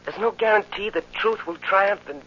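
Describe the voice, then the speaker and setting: High, earnest young man played by an actor. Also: normal speed, close to an everyday voice. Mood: earnest, resigned. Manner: earnest, pleading, emotional. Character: earnest, naive young man caught up in crime. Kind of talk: 1940s radio drama acting. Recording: muffled, hissy old radio recording.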